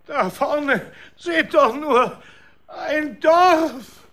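An actor playing an excitable, easily amazed wandering Goth in a children's radio drama, with drawn-out exclamations. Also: male voice, extremely high pitch, heavily acted. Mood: excited.

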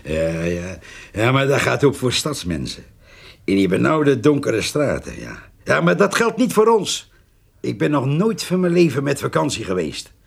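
A man's voice: mid-range voice, wildly swooping pitch, normal speed, vocal fry, slightly rough, full character voice. An actor playing a proud, stubborn countryman in a radio drama, laconic and dryly sceptical. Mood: wary, proud. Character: wary, proud, hard-working countryman, dry and stubborn. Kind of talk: naturalistic radio drama dialogue.

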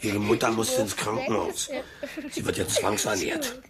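A man's voice: on the low side, wildly swooping pitch, a little slow, a loud crowd. An actor playing a simple-minded, childlike male killer in a German radio drama, naive and eager to please. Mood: sheepish, coaxing.

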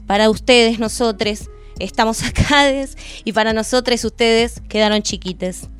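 A woman's voice: fairly high pitch, fairly even intonation, measured pace, smooth. A woman with an Argentine accent delivering a scripted spoken-word monologue as a radio presenter. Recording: music bed under the voice. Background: music.

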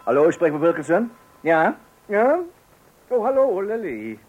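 A man's voice: sits high, big pitch swings, measured pace, narrow, tinny audio, background noise. An actor in a radio play playing a flustered, weary father, hesitant, stammering and exasperated. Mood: harried. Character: flustered, weary father awaiting a birth at night.

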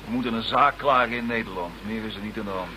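An actor playing an unruffled, matter-of-fact British chief police inspector in a radio drama. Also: male voice, fairly low pitch, fast, natural voice. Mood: easygoing. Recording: faint background noise, old radio play.

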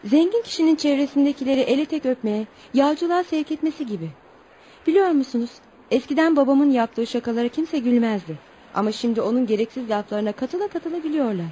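Wistful young tribeswoman, high voice, formal storytelling delivery, radio play with light background noise. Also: lively intonation, fast talker, warm tone. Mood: troubled, earnest. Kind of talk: radio theatre acting.

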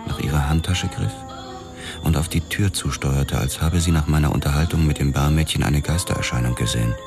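A brooding detective who narrates his own story in a radio play, calm and measured. His voice is extremely deep. Loud music plays behind him. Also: creaky. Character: male detective narrating in first person. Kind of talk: hardboiled first-person narration.

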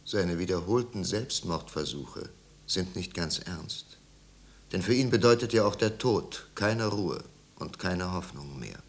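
A man's voice: low voice, lively intonation, measured pace. A man narrating a literary tragedy in a 1950s radio play, grave and intense, with careful articulation. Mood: somber.

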